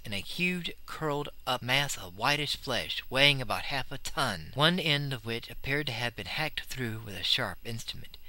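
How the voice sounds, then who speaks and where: A man reading a scripted story in clipped log-entry phrases, deliberate and grave. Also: mid-range voice, sharp tone, poor audio. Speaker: audiobook reader.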